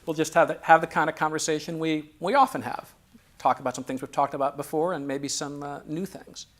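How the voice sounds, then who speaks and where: A man hosting a relaxed interview, asking questions with frequent pauses, ums and restarts. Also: a little high. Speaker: interviewer and host.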